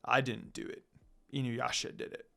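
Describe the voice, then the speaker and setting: A man voicing a composed, stern and protective demon older brother in a story reading, speaking in a measured way. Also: medium pitch, little pitch movement, vocal fry, fairly bright tone, voice pushed down. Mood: calm.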